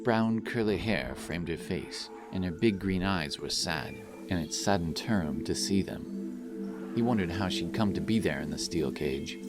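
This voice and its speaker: A man reading a scripted fantasy story as narrator, in a dramatic, solemn and measured style. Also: low voice, lively intonation. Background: music.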